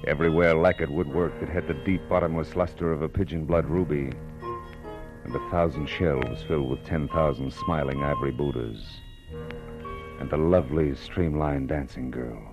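A man's voice: extremely low pitch, fairly even intonation, moderate pace, dull audio. An American man playing a tough, cynical private detective with clipped, wry delivery in a 1940s-style radio crime drama. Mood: dazed, dreamy narration. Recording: old radio recording with music.

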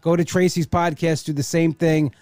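A man's voice: on the high side, little pitch movement, normal speed, a little mellow, no echo. Male host, 40s, casual rambling delivery, dry close-miked sound. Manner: rambling, restarts often, says like a lot. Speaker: podcast host. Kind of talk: casual podcast chat.

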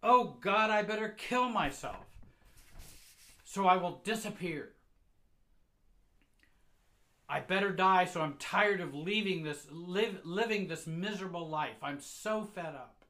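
Man playing an abusive mother, enraged, shouting, accusing, high-pitched, piercing dramatic monologue. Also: unhurried. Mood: despairing rage. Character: enraged, abusive mother screaming at her child.

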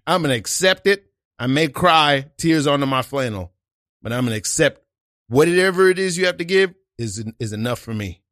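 A man playing an intense, swearing man at a support-group meeting who loudly declares his love for everyone. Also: on the high side, lively intonation, normal speed, dry recording, studio quality. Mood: wounded. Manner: loud, swearing, overly emotional declarations of love. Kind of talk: improvised comedy scene.

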